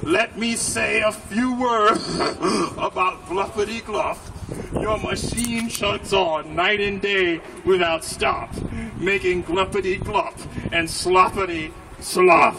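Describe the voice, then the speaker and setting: A man's voice: high voice, slow, creaky, bright, forceful tone, heavily acted. A man playing an indignant, snappish old forest creature. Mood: scolding. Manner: snappish, emphatic. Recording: windy outdoor PA, distant, noisy.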